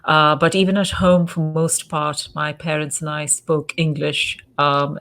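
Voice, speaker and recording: Low-voiced thoughtful woman from India. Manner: measured and thoughtful, hedging with soft fillers. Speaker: interview guest, conservation journalist. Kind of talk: conversational interview answers.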